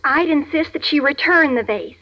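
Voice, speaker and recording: An actress playing a precocious, matter-of-fact young neighbor girl with an earnest deadpan in a 1940s radio sitcom. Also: high-pitched, normal speed. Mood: scheming. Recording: muffled vintage radio broadcast.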